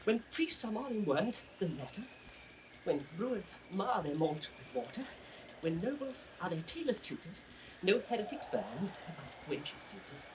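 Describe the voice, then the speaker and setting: An actor playing a sly, mocking court fool, chanting riddles in a sing-song classical stage manner. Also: male voice, high-pitched, very animated, measured pace. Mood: mocking prophecy. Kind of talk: classical Shakespearean audio drama acting. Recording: noisy vintage recording, distant from mic.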